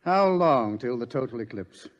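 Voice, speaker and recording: Sardonic scientist with lively, swooping delivery, a man acting. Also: mid-range voice, normal speed, long reverb tail, dull audio, natural voice. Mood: uneasy. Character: sardonic, world-weary male scientist. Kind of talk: mid-century radio drama acting.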